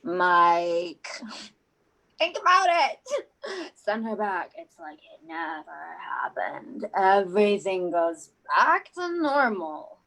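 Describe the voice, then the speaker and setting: A woman voicing a gentle, anxious, awkward monster in a scripted podcast, with a halting, giggly delivery. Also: mid-range voice, big pitch swings, exaggerated voice, poor audio. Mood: hesitant. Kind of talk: scripted podcast character reading.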